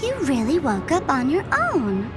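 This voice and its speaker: A woman's voice: high voice, wildly swooping pitch, measured pace, full character voice. An actress playing a teasing, gleeful female trickster in a video game, theatrical and mocking, with playful menace. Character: gleeful, teasing female trickster fool threatening to blow up a planet. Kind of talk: video game character acting. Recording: music behind the voice.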